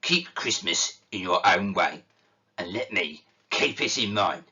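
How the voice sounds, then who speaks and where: Gruff old miser, low, curt and sneering, read by a man, dull lo-fi recording. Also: unhurried, pushed and bright, exaggerated voice. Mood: stern.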